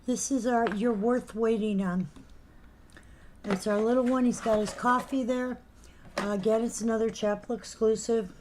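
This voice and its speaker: A woman co-hosts a casual live stream with a slightly higher voice that is wearing thin as she loses it. She reads out item numbers and fires back dry, teasing remarks. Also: fairly even intonation, normal speed, background noise. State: losing her voice. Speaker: co-host of a live collectibles show.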